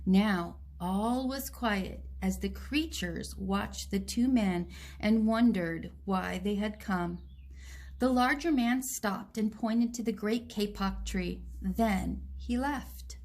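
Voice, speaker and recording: A woman reading a picture book aloud to children, with gentle, simple explanations along the way. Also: medium pitch, slow pace. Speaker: children's story reader.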